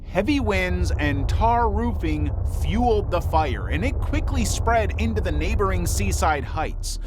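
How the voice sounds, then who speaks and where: Man, documentary narrator, dramatic storytelling, strongly varied intonation, music playing behind. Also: high voice. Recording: voice over prominent background music.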